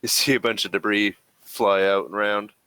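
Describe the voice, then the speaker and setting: A man with a low, bright and forceful voice runs a tabletop game. He talks casually in halting stretches, working out the rules aloud as game master. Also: steady tone, clear, resonant tone, dry recording.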